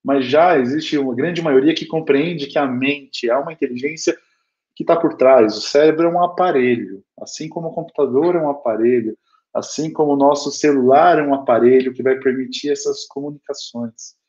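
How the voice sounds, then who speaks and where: A man's voice: mid-range voice, moderate pace, darkish tone. A man in his thirties with a Brazilian accent giving an informal, explanatory spiritual lecture in a conversational way over a video call. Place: small home room with bookshelves. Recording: dull lo-fi video call audio.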